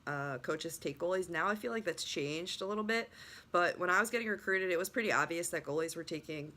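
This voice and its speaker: Low, bright-toned young woman, voice still recovering. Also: brisk. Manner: rambling, many restarts and fillers. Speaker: lacrosse goalie trainer. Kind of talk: casual vlog storytelling.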